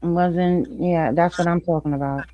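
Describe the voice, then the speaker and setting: A woman's voice: fairly low pitch, fairly even intonation, moderate pace, muffled recording, a little hiss or hum. A woman in a casual panel talk who argues her side and holds her ground.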